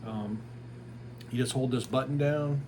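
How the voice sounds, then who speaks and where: A man's voice: medium pitch, fairly even intonation, unhurried. A man giving a casual, unscripted product review in a plain-spoken, informal way, like a small business owner. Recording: clear background ambient noise.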